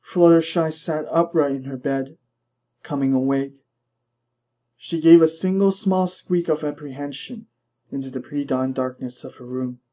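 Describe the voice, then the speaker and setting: A man reading fiction aloud as a narrator, in an expressive, dramatic storytelling style. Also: mid-range voice, unhurried. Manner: expressive, literary storytelling delivery.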